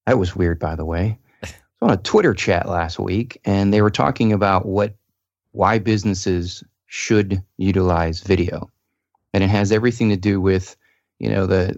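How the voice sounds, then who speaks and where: Low-voiced guy on a podcast, earnest, kinda explainy, muffled lo-fi sound. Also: darkish tone, no room sound. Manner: earnest, explains with many "you know"s. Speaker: guest. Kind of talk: casual podcast chat.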